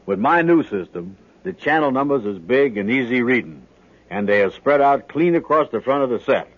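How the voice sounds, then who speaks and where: An actor playing a pompous, boastful, slow-witted man in a 1950s radio sitcom, speaking grandiose folksy dialect. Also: medium pitch, expressive, close to an everyday voice. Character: pompous, slow-witted, boastful adult male would-be inventor. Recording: dull, band-limited old radio broadcast.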